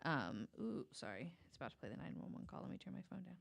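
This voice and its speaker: A woman's voice: lowish voice, big pitch swings, quick pace, vocal fry, breathy edge. A woman telling a story casually and informally as a guest on a podcast.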